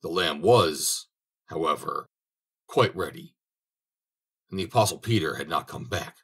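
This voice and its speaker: A man's voice: low voice, a little slow, breathy edge. A man reading a fairy tale aloud as an audiobook narrator, telling it expressively. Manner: expressive storytelling. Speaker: audiobook reader.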